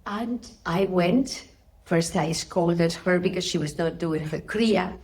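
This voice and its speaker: Lower-pitched mature woman with Spanish accent. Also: slow pace, darkish tone. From Spain. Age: middle-aged or older. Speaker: interviewee, spiritual teacher. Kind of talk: interview answers with personal storytelling.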